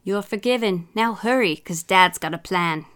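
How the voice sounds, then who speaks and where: A woman's voice: mid-range voice, moderate pace, a bit bright, lightly acted. Woman reading as a kindly, reassuring storybook dad. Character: kindly, forgiving father of a young boy.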